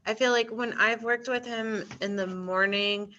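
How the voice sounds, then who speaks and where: A woman's voice: a little high, steady tone, a little slow, bright, forceful tone, highs cut off, a little hiss or hum. A woman care worker talking hesitantly about a resident in a case discussion over a video call. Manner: hesitant, many fillers. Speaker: care staff member.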